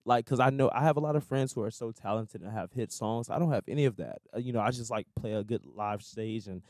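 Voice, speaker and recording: A soft-spoken young man in his twenties, talking earnestly in a casual interview and often restarting his sentences. His voice sits at an ordinary pitch, recorded close and dry on a boom mic in a small living room. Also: fast, dry recording. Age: 20s. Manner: earnest, rambling with many restarts and likes. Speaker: musician guest. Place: hotel-style living room, indoors. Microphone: boom mic on stand.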